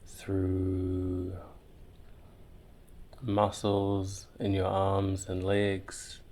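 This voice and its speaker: Male meditation guide: extremely unhurried delivery, very flat intonation, soothing, pausing between phrases. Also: sits low. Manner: soothing, unhurried with long pauses. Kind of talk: guided visualization, meditative narration.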